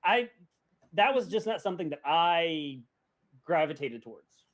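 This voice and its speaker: A man's voice: fairly high pitch, lively intonation, unhurried. A man chatting casually as a panelist on a podcast. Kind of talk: casual chat.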